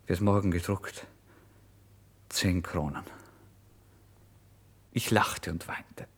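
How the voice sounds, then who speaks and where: A man's voice: mid-range voice, natural voice. An actor playing a starving, impulsive male writer in a theatrical, changeable first-person literary monologue for radio. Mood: giddy, exultant. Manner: theatrical, swinging from exclamations to hushed whispers. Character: starving, impulsive male writer recounting his misery and brazen lies.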